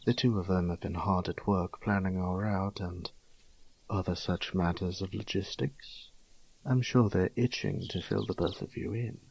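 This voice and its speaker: Man playing an airy, teasing young nobleman, husky-edged. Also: sits low, steady tone, moderate pace, darkish tone, heavily acted. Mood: teasing, courteous. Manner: airy, flowery, mannered. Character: dapper, teasing young nobleman, fussy and breezily flamboyant. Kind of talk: audio roleplay drama.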